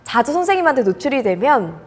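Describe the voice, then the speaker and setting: A woman's voice: high-pitched, very animated, fast, a little mellow, big-room sound. A woman broadcaster giving career advice straight to the viewers in a polite, earnest way. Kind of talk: direct-to-camera advice talk.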